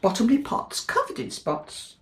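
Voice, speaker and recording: A British woman teacher reading a picture book aloud to young children, expressive and chatty, often asking her listeners questions. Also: a little slow. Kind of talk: animated children's storytime read-aloud with chatty asides. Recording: echoey, reverberant room.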